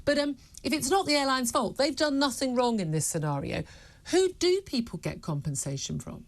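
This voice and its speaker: A woman's voice: high voice, very animated, normal speed. A British woman presenting a live news interview in a chatty, emphatic and opinionated way.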